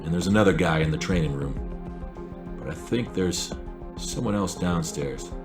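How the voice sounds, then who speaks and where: Watchful male lookout: extremely low voice, hesitant and low-key, clear music underneath, audio drama. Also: moderate pace. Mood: puzzled, uncertain.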